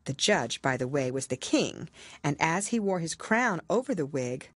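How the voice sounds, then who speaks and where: Female audiobook narrator: lowish, animated storybook delivery, very dry sound. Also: normal speed, husky, dry recording. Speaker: audiobook reader. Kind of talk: expressive scripted storybook narration.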